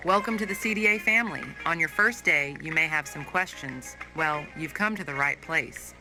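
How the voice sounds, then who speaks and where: A polite, formal corporate narrator, acted for an analog horror tape reads a scripted training video. The medium-pitched voice is cheerful, with clear background music underneath. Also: normal speed, close to an everyday voice, low-quality recording.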